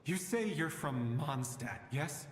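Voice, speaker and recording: A man acting an anxious, weary father searching for his lost daughter in a video game, speaking haltingly and earnestly. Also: a little high, lively intonation. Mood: resigned, reflective. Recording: echoey, long reverb, game music behind.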